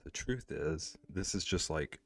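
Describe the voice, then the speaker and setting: Casual male streamer, deep gravelly voice, dry close sound, faint music behind. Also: expressive, a little slow, no echo. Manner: rambling, with many "like" fillers and restarts. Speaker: streamer drawing live and chatting with viewers. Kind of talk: casual chat, reading and answering chat.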